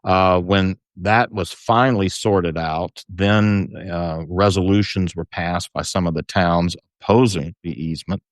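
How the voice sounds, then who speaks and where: A man talking casually in an interview, halting as he searches for his words. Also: sits low, fairly even intonation, no echo.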